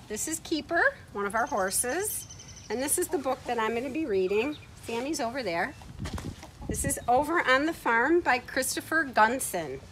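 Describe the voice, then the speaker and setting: A middle-aged woman reading a picture book aloud to children in an expressive way, recorded outdoors. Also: on the high side. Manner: expressive, acting out short quoted words. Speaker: children's story time reader. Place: outdoors on a farm, beside horses. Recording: outdoor ambient noise from camera mic.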